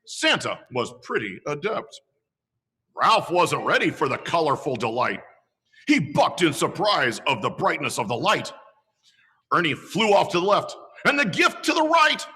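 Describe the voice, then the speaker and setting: A man dressed as Santa, reading a children's story aloud slowly and expressively. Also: husky, in a large hall. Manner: slow, highly expressive.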